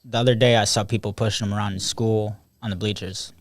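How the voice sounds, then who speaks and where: A man's voice: on the low side, steady tone, quick pace, dry recording. A teenage man hosting a casual podcast chat with friends, rambling, laughing often and swearing freely. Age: teens. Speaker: podcast host. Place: small room with friends.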